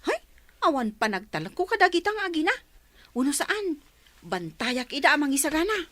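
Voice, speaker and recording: A woman with an Ilocano Filipino accent playing a bossy, sharp-tongued, meddling housemaid in a comedy radio drama. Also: high voice, big pitch swings, a little fast. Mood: grumbling.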